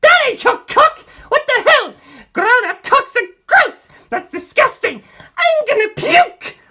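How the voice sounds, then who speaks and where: A woman voicing a crude, violent male bully in a storybook reading, with shrill, strained yelling. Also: very animated, unhurried, heavily acted. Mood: disgusted shrieking. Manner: yelling, foul-mouthed outbursts.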